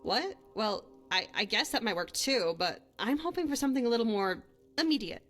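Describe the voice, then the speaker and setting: A woman's voice: on the high side, animated, brisk, fairly bright tone. Bold young adventurer woman voiced by an actress, music underneath. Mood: casual, coaxing. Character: young woman adventurer and hunter, guilt-haunted, bold, reassuring companion. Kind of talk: fantasy game companion voice acting.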